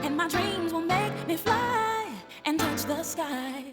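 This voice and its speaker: A woman's voice: extremely high pitch, animated, slow. A woman singing an electronic pop song. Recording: loud electronic music under vocals.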